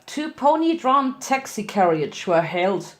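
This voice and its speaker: A woman's voice: mid-range voice, big pitch swings, a little slow. A woman giving a dramatic narrated reading of a story. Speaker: fan fiction reader.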